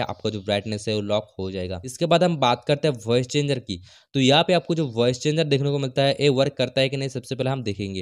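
A man narrating a phone tutorial as a tech YouTuber, explaining each step in a repetitive, methodical way. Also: mid-range voice.

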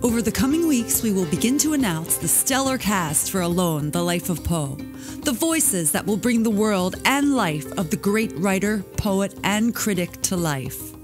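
A woman's voice: medium pitch, animated, moderate pace, over music. A woman reading scripted promotional narration as an announcer. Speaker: podcast announcer.

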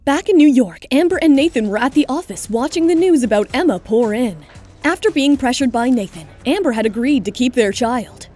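A woman's voice: high voice, animated, fairly quick, warm tone, faint music behind. A woman narrator reading a scripted romantic drama in audiobook style.

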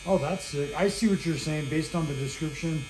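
A man's voice: fairly high pitch, normal speed, echoey room, noisy background. A man working as a barber, chatting casually in an encouraging, slangy way while cutting hair. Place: indoor barbershop.

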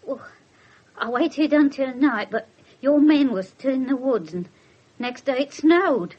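An actress playing an aggrieved, defensive English spinster in a radio drama, speaking haltingly. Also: female voice, high voice, expressive, slightly rough, full character voice. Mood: halting confession. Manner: halting, faltering. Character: aggrieved, defensive cat-owning spinster confessing to violence. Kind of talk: 1970s British radio drama acting. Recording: muffled 1970s radio broadcast.

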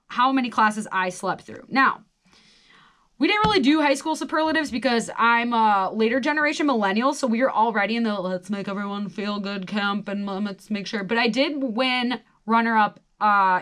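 A woman's voice: fairly high pitch, a bit bright. An American woman in her twenties chatting casually and reading a quiz aloud, with wry, self-deprecating asides. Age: 20s. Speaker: host of a solo quiz video. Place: small radio studio.

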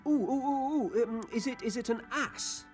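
An actress playing an excitable, giggly party guest in an audio drama, playful and a little hesitant. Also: female voice, on the high side, normal speed, natural voice. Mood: excited, playful. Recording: music behind the voice.

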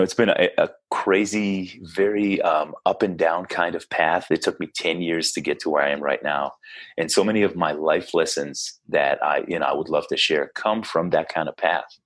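A man's voice: very deep voice, moderate pace. A man from Israel in his thirties, a basketball coach talking earnestly and casually as a podcast guest. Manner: earnest, chatty, frequent restarts and fillers. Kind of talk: casual interview chat.

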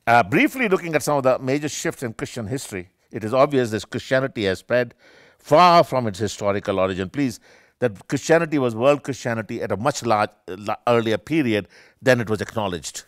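An older man, a professor, giving an animated and discursive academic lecture with many self-corrections, seated in a small room with armchairs. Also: medium pitch, a little fast, no echo, clean studio sound. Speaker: keynote speaker, professor.

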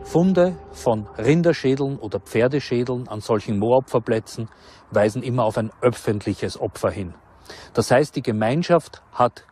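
A man's voice: medium pitch, mellow. A man speaking as an expert historian, explaining in an academic way in a documentary interview.

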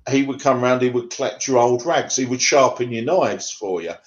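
A man's voice: mid-range voice, moderate pace, muffled recording. Man reciting rhyming poetry on a video call. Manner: rhythmic rhyming recitation, then conversational with fillers. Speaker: poet guest. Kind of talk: poetry reading then interview answers. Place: home room.

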